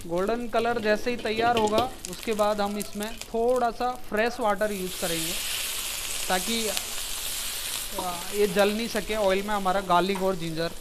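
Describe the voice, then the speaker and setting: Chef explaining steps over sizzling pans. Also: male voice, sits high, steady tone, unhurried, background noise. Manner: explains step by step, mixing English words into Hindi. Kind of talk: cooking demonstration. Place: commercial kitchen. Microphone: lapel.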